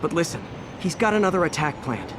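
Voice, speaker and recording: An actor playing a friendly, earnest young American superhero in a video game, talking in a casual, slightly awkward way. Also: male voice, a little high, sing-song, fairly quick, background noise. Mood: earnest, urgent. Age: young adult. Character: earnest, friendly young male superhero juggling everyday life.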